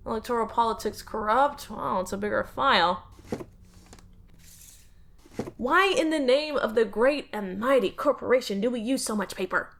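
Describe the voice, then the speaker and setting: A woman's voice: on the high side, expressive, fairly quick, fairly bright tone, a little hiss or hum. A woman voicing a bored young male alien office clerk who whines and mocks his useless job, in an audio drama. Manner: sarcastic, whiny, restless.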